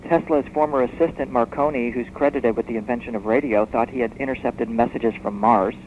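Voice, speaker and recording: A man speaking as an expert guest in a radio interview, explaining history as a story in a measured, discursive way. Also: mid-range voice, fairly even intonation. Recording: muffled noisy shortwave radio tape.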